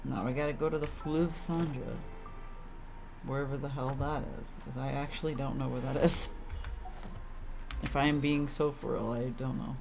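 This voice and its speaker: Girl streamer chatting casually, really low gravelly voice, swings around playfully, bit of music behind. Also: fairly even intonation, moderate pace, long pauses. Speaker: game streamer. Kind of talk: casual live-stream commentary.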